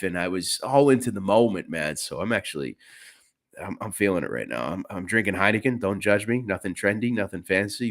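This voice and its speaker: A young man with a Boston accent and a stuffed-up, congested voice, co-hosting a casual betting podcast, tossing in "bro" and "man" often. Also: low-pitched. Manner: excitable, says "bro" and "man" a lot. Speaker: podcast co-host. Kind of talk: casual chat.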